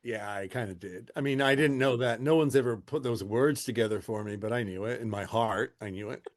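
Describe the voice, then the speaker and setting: A man's voice: mid-range voice, brisk. A man co-hosting a casual podcast with a sardonic, grumbling manner and dry humor, swearing freely. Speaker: podcast co-host. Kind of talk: casual profane podcast chat.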